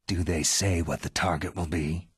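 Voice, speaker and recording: An actor playing a gruff, skeptical ex-soldier in a video game cutscene, with a terse delivery and a heavy rasp. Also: male voice, sits low, steady tone, moderate pace, dry recording, clean studio sound. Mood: terse, businesslike. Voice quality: very husky, gravelly rasp. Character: gruff, defiant retired special-forces soldier on a covert mission.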